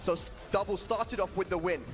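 Male esports caster, hoarse from the weekend, quick and high, yells on the big plays. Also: over music. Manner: excited, shouts at big moments. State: losing his voice. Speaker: tournament commentator. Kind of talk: esports play-by-play commentary.

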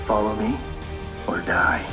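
A man's voice: fairly low pitch, measured pace, close to an everyday voice. Guy doing over the top action trailer man, grim and dramatic, big music under him. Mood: grimly dramatic. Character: over-the-top movie trailer announcer and tough action hero. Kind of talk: dramatic film trailer narration and one-liners. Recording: loud music behind.

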